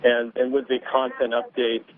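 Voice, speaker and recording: A man's voice: mid-range voice, monotone, moderate pace. A man speaking as a financial analyst, asking a business question conversationally on a conference call. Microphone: phone. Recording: thin noisy telephone line.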